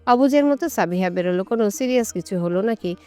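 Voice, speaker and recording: A woman's voice: mid-range voice, expressive, quick pace, mellow, soft background music. A woman reading a scripted romantic story as its narrator.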